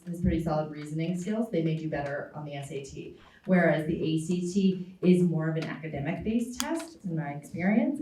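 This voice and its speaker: Low-voiced admissions director in echoey hall. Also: female voice, slight background noise. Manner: explanatory, steady, frequent ums. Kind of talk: panel Q&A answer. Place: school auditorium. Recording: echoey hall, distant mic.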